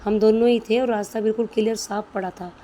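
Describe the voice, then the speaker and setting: A woman's voice: a little high, level tone, quick pace, warm tone, slight background noise. A woman vlogger with a sore throat, chatting homely and directly to her viewers about her day. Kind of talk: casual home vlog voice-over.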